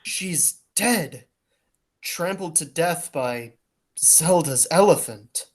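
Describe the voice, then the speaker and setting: A woman's voice: sits low, animated, slow pace, darkish tone, heavily acted. A woman playing a heroic, earnest male police detective in a 1940s style radio play. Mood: grave. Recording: faint noise and clicks.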